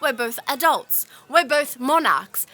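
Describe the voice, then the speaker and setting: An actress playing a stubborn, breezy young princess ruler in an audio drama. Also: female voice, high voice, animated, slow pace, pushed and bright, exaggerated voice. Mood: breezy, determined.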